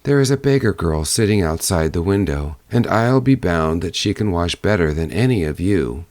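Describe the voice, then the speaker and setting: A man's voice: low-pitched, animated, moderate pace, darkish tone, no room sound. A man voicing an earnest, resolute young prince in a storybook reading, declarative and commanding. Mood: scornful.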